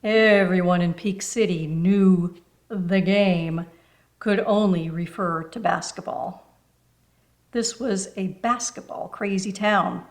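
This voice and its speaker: A woman reading a children's book aloud in expressive storybook narration. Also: medium pitch, slow pace.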